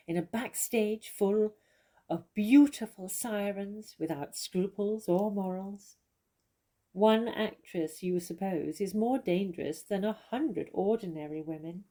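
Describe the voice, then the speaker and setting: A woman's voice: mid-range voice, mellow, lightly acted. A woman playing a worldly, witty famous actress in a stage comedy, with an arch, condescending and theatrical manner. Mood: amused condescension, then wry, knowing.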